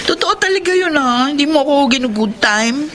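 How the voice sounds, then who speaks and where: An actress playing an earnest young working wife in a Filipino radio drama, expressive and chatty. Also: female voice, high voice, normal speed, crowd noise. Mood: grateful, playful. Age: young adult.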